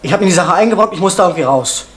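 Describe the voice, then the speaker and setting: A troubled young son in an old radio play. His voice sits fairly high and his words come fast and agitated. Faint hiss lies under it. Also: expressive, fairly bright tone. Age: young adult. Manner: agitated, rebellious. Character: young man, troubled son who took money. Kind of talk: 1970s-80s radio drama acting.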